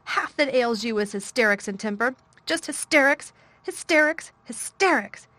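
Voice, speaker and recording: A woman's voice: mid-range voice, normal speed, a bit bright, dry recording, natural voice. A woman voicing a fierce, bossy little girl in a temper, scolding and commanding. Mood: furious.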